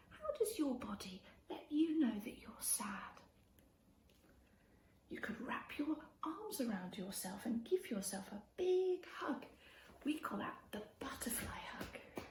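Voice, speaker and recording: A high-voiced woman reads a picture book aloud for children, with very animated, swooping intonation. She speaks straight to her young listeners in an expressive storytelling style. The room adds a noticeable echo around her voice. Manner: expressive, addresses child listeners with questions and prompts. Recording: roomy echo with faint background noise.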